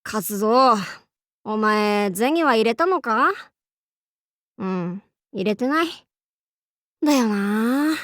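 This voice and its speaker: A woman's voice: fairly high pitch, expressive, very slow, long pauses, fairly bright tone, no echo, studio quality, heavily acted. A woman voicing a bold, androgynous young demon-king warlord in an anime game, with boastful, archaic lordly speech and playful swagger. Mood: bemused. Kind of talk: anime game character voice lines.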